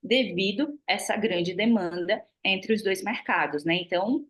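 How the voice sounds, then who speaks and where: A woman's voice: mid-range voice, moderate pace. Chatty Brazilian woman on a video call. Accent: Brazilian Portuguese. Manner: chatty, frequent fillers and restarts. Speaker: guest speaker on trade. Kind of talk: webinar talk. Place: lives in China.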